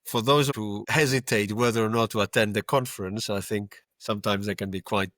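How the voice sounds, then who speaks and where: A man in his fifties from Greece with a Greek accent, a lawyer telling his story in a measured, reflective way as a podcast guest. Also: lowish voice, fairly even intonation, fairly bright tone, dry recording, studio quality. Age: 50s. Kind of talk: conversational podcast interview.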